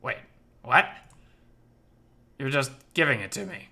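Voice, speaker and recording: A man's voice: medium pitch, big pitch swings, takes it slowly, gravelly, sharp tone, exaggerated voice. A man voicing a young male bat in a visual novel, a teasing companion who is easily flustered. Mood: flustered, surprised.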